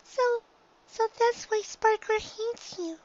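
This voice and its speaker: A woman's voice: very high-pitched, slow, darkish tone, lo-fi sound, pitched above the performer's own voice. A woman voicing an earnest, sweet little girl pony from a story, with a childlike, emphatic way of talking. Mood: tearful, hurt.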